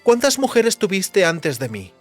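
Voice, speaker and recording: A woman's voice: mid-range voice, very animated, measured pace. A haughty sorceress in an audio drama. She is demanding and teasing with her lover, phrasing everything ornately and imperiously, with music playing behind her. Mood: jealous, demanding.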